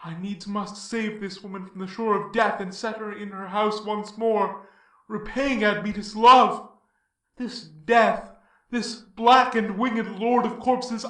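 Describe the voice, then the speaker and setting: An actor playing a mighty, impassioned demigod hero in a Greek tragedy, declaiming archaic verse in a grand manner. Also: male voice, sits high, pushed and bright. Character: mighty heroic demigod, grieved, indignant, then boldly resolute. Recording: roomy echo, distant from mic.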